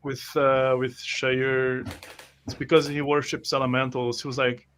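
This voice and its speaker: Mid-pitched man, halting, thinking aloud. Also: level tone, a bit bright, slight background noise. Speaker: tabletop role-playing player. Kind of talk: casual group chat about a role-playing game.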